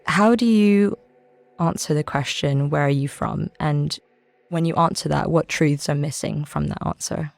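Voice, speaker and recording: A woman hosting an interview podcast, reading a formal guest introduction and asking reflective questions in a measured way. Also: low-pitched, creaky, darkish tone, no room sound. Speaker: podcast host. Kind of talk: interview podcast, scripted intro then conversation.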